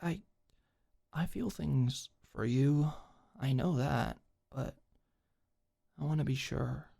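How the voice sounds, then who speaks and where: A young woman playing a tough but awkward, secretly tender delinquent girl in an intimate ASMR roleplay, speaking softly and hesitantly. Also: rumbling low, slow pace, gravelly, slightly rough, full character voice. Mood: nervous, earnest.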